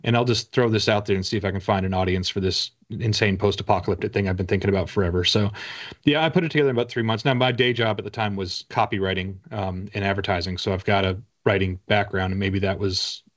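A man in his forties speaks in a fairly low voice, slightly muffled by a lo-fi video call. He chats informally as a guest, hesitating and restarting with wry modesty. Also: steady tone, fast. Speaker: guest, author. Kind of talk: casual interview chat. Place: indoor home room with bookshelves. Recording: dull, lo-fi video call audio.

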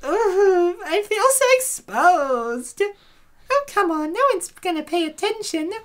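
A woman's voice: very high-pitched, expressive, slow, exaggerated voice. A woman voicing flustered young women in a comic dub, exaggerated and stammering. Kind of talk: cartoonish comic dub acting.

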